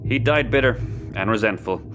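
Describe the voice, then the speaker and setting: A man's voice: lowish voice, moderate pace, noisy background, close to an everyday voice. A man playing a world-weary, candid spacefarer in an audio drama, plain-spoken, with an Irish accent. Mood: sombre, confessional. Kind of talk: naturalistic sci-fi audio drama acting.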